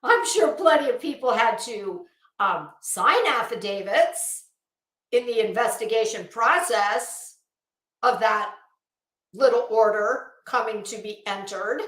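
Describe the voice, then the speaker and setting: A woman's voice: on the high side, animated, slow, a bit bright, roomy sound, poor audio. A woman delivering an opinionated online commentary rant, blunt, scolding, emphatic and sweary. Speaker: online commentary video host.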